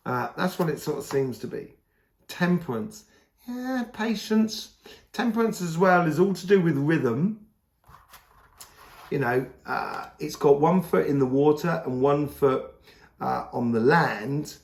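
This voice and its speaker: A hoarse man giving a casual, rambling tarot reading, full of fillers and restarts. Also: fairly high pitch, animated, unhurried, reverberant. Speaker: tarot reader.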